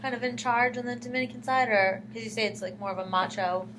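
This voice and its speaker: A woman's voice: on the high side, fast. Woman interviewer over a humming recording. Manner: follows up with short prompting questions. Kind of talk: casual interview questions. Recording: humming background noise.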